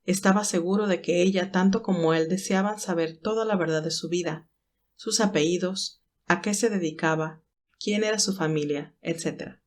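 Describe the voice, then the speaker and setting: A woman's voice: fairly low pitch, moderate pace, a little mellow. A woman reading scripted, dramatic narration of a romantic story as its narrator.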